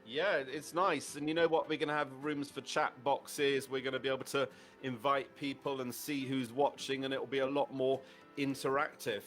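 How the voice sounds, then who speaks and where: A man's voice: mid-range voice, flat delivery, normal speed, a bit bright, soft background music. A man hosting a casual online chat show, chatty and full of restarts. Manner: chatty, with many restarts and fillers. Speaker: host.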